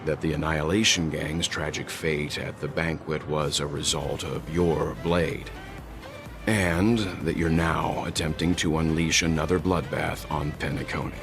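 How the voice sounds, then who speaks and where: An actor voicing a stern, guarded veteran of a space crew in a video game, formal and deliberate. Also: male voice, rumbling low, steady tone. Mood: probing. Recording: music clearly behind the voice.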